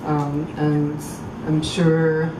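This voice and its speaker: Soft, low-voiced woman reading poems outdoors. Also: completely flat delivery. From Croatia. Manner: halting, thoughtful spoken intros, measured reading. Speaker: poet reading at a public event. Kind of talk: public poetry reading. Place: outdoor public venue. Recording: echoey, far from mic, street noise.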